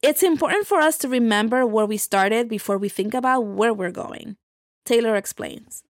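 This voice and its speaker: A woman's voice: on the high side, normal speed, no echo, studio quality. A woman hosting a podcast in a scripted narration style. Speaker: podcast host.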